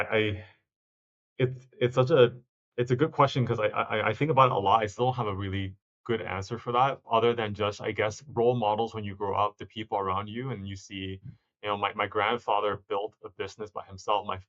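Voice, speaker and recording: A man, a startup founder, answering interview questions in a reflective, halting way with frequent restarts. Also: fairly low pitch, a bit bright, highs cut off. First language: not stated. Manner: reflective, many restarts and self-corrections.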